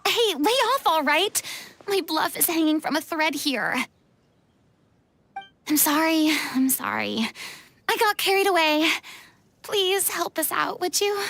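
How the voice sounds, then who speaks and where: A woman's voice: extremely high pitch, animated, slow, vocal fry, pauses often, fairly bright tone, full character voice. A woman voicing a theatrical young former goddess in a video game, with dramatic, self-important flourishes. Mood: sheepish. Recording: game audio under faint music.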